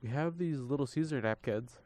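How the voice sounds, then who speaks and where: A man's voice: medium pitch, brisk, darkish tone. A young man co-hosting a casual college radio chat, talking with a stuffy nose and rambling through lots of fillers. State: stuffy nose from a cold or allergies. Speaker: podcast co-host.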